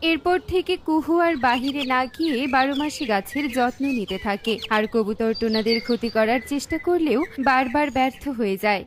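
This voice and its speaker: A woman's voice: high-pitched, lively intonation, a little fast, few pauses, noisy background. A woman narrator reading a scripted children's cartoon story. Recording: music bed behind. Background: music.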